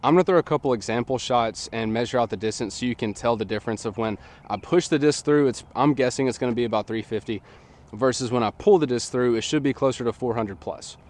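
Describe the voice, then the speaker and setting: A young man in his twenties, slightly nasal from a sinus infection, explaining a sports technique in a relaxed, chatty way outdoors, recorded by a camera at a distance in an open field. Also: mid-range voice, fast. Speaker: disc golf coach. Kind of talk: casual instructional talk. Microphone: camera on a tripod at a distance.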